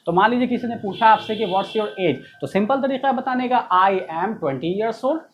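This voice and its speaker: A man's voice: sits high, measured pace, a little hiss or hum. A man teaching spoken English in Hindi, playfully acting out sample dialogues.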